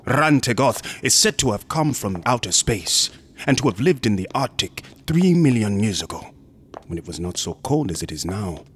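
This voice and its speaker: Theatrical, sinister museum assistant, swooping delivery. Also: male voice, fairly low pitch, big pitch swings, normal speed, slight background noise. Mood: menacing. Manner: courteous, insinuating, theatrical, lapses into whispers. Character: sinister, smug, unctuous museum assistant guarding macabre exhibits. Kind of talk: horror radio drama acting.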